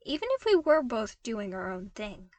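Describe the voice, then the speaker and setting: A woman's voice: fairly high pitch, big pitch swings, moderate pace, darkish tone, dry recording. A woman voicing an eager, curious young man in an audio story, earnest and casual. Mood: defensive.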